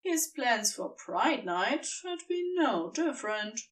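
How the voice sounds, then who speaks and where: A woman narrating scripted fiction as an audiobook reader, with expressive storytelling and deliberate phrasing. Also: a little high, distant mic.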